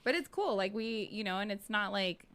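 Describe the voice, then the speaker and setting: A woman's voice: mid-range voice, animated, moderate pace, a bit bright, smooth. A woman in her forties chatting casually and candidly as a podcast co-host, swearing freely. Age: 40s. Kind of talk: casual chat.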